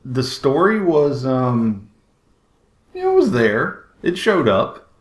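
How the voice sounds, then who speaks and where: A man hosts a casual podcast chat in a lively, swooping voice of middling pitch. The recording is roomy, with noticeable echo around his words. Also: slow pace. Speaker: podcast host. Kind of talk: casual chat about films. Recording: roomy, echoing sound.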